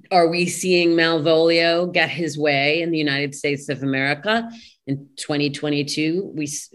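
Low-pitched woman, halting and thoughtful. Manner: halting, searching for words. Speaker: interview guest, play translator. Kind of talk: thoughtful interview discussion.

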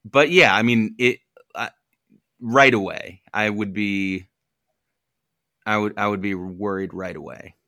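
A man with a lowish but bright, clear voice chats as a podcast co-host. He agrees readily and restarts his sentences often. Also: a little slow, long pauses, no room sound, studio quality. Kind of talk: casual chat.

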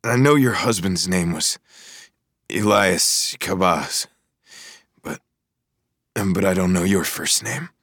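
A man voices a wounded young American security man in an audiobook thriller. He is stoic and terse, with a strained delivery in a low voice and a very dry sound. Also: takes it slowly, long pauses, fairly bright tone, no room sound, full character voice. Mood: weary. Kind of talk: audiobook character voice.

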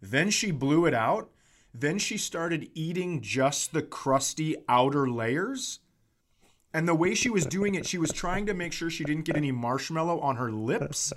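Man, fairly high pitch, comedy podcast host, casual storytelling, profane exaggerated rants. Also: expressive, measured pace.

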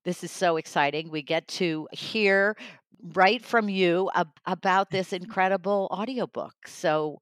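A woman's voice: on the low side, measured pace, no room sound. A woman hosting an audiobook podcast, introducing and interviewing guests in a presenter's style. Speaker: podcast host and magazine editor.

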